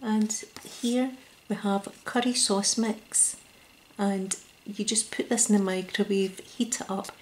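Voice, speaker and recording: A Scottish woman speaking ASMR in a soft, gentle whisper close to the mic, at a table indoors. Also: mid-range voice, fairly even intonation, slow, slight background noise. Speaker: ASMR creator.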